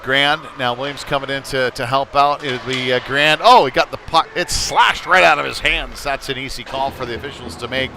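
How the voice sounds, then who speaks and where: A man's voice: medium pitch, normal speed, gravelly, hardly any pauses. A man with a mid-range voice gives live hockey commentary on a local stream. He follows the action in short, clipped phrases. The recording carries plenty of ambient noise from the indoor rink around him. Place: indoor ice rink during a game. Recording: noisy live rink broadcast. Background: loud ambient arena noise.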